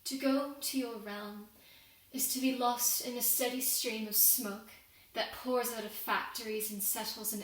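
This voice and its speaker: A woman's voice: mid-range voice, close to an everyday voice. A young woman playing a guilt-ridden, devout working woman of the industrial era in a solemn, formal confessional monologue. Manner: solemn, deliberate, formal oratory. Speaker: student performer. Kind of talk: dramatic monologue, confessional prayer. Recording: very echoey room, distant microphone.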